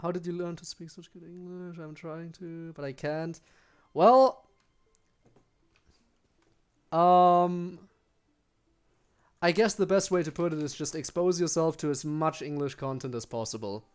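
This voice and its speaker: A man's voice: on the high side, a little slow, pauses often, smooth. German-accented man chatting on stream. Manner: chatty, answers chat, frequent ums and restarts. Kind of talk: casual live-stream chat while gaming.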